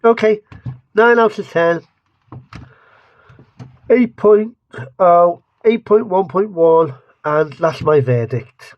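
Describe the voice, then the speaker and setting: Man, lisp, rambling jokey vlogger, unhurried, muffled lo-fi sound. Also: sits high, no room sound. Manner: rambling, joking, restarts a lot. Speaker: vlogger reviewing mustards. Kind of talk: casual taste-test review. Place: home studio.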